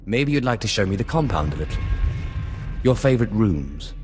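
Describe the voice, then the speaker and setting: Arrogant low-voiced Sith lord. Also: male voice, very animated, moderate pace, a little hiss or hum. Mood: smug, teasing. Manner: imperious, taunting. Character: arrogant, menacing adult male Sith sorcerer. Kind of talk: video game cutscene acting. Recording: long hall reverb over music.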